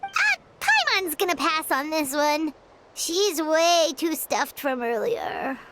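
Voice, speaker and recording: A woman's voice: high-pitched, sing-song, slow, fairly bright tone, slight background noise, full character voice. A woman voicing a tiny, perky, cheeky fairy companion with a childlike manner in a video game. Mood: curious. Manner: perky, refers to herself in third person.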